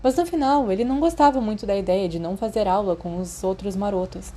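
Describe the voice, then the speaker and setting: A woman's voice: medium pitch, fast, warm tone, slight background noise. A woman with a Brazilian accent reading a story as a scripted audiobook narrator. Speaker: podfic narrator.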